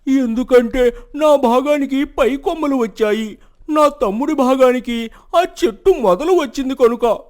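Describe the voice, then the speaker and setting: An actor playing a sly, greedy village man, submissive and emphatic as he pleads, in an animated moral story. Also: extremely high pitch, unhurried. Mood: feigned innocence. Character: sly, greedy village elder brother, submissive before royalty.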